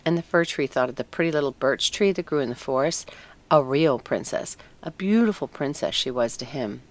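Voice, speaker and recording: A woman narrating a scripted storybook tale in an expressive, deliberate storytelling manner. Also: very deep voice. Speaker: audiobook narrator.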